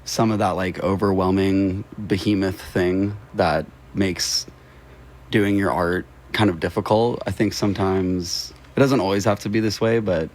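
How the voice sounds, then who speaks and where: American dude w a really deep voice, chill n slangy, just chatting in an interview. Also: male voice, steady tone, a little slow, darkish tone. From Seattle, United States. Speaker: interview guest, local musician and label co-founder. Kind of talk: casual interview talk.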